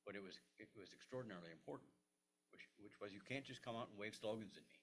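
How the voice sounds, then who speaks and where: An older man, a historian, answering audience questions at a lecture, halting with many restarts and fillers. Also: on the low side, slight background noise. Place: lecture room.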